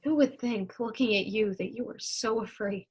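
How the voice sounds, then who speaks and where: Young woman as an impatient passionate lover, slightly high husky voice, declamatory, scolding and pleading, stage play. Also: moderate pace. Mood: scornful, exasperated. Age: young adult. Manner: declamatory, impassioned. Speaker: student actor. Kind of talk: stage acting in a one-act period melodrama.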